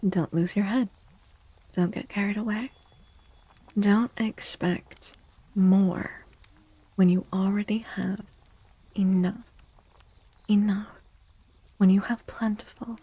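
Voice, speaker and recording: A woman speaking softly and gently in a breathy, half-whispered ASMR style, like a livestreamer, often repeating phrases. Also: mid-range voice, fairly even intonation, takes it slowly, long pauses, dry recording. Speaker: streamer and tarot reader. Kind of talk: soft-spoken ASMR livestream with casual chat.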